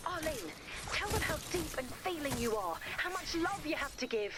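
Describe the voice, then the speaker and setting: A woman's voice: high-pitched, lively intonation, moderate pace, very noisy. A woman playing a spirited, bossy, romantic young heroine in a video game, with an emphatic, upbeat delivery. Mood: earnest, urging. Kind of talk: video game character voice acting. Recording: loud music behind the voice.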